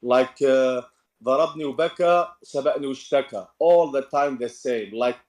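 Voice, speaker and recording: A male caller on a live debate stream who speaks haltingly, restarts often and drops in Arabic sayings. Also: mid-range voice, darkish tone. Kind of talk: casual call-in chat.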